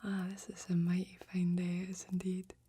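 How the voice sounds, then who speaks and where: Folksy fantasy blacksmith, female performer, ASMR roleplay. Breathy near-whisper, dark soft tone, gentle and admiring. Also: lowish voice, monotone, a little fast. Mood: gentle, helpful. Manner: gentle, quaint old-fashioned exclamations and phrasing.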